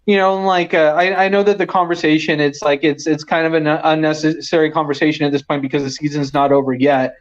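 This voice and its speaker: A male caller from the San Francisco Bay Area, heard over a dull, lo-fi call line. His voice sits high for a man, and he rambles on in a casual way, tripping over his restarts. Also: fairly even intonation, quick pace, hardly any pauses.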